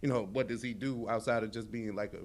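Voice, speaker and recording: Slangy man co-host, slightly low voice. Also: fairly even intonation, quick pace, a little hiss or hum. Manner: slangy, informal, conversational. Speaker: podcast co-host. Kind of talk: casual chat about music, films and sports.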